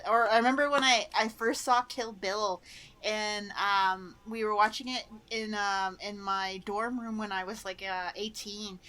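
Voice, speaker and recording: A woman's voice: medium pitch, pushed and bright, rough recording. A woman in her thirties with a Canadian accent, casually recapping a sitcom episode and laughing often. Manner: chatty, laughs often, many "like" fillers. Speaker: podcast host.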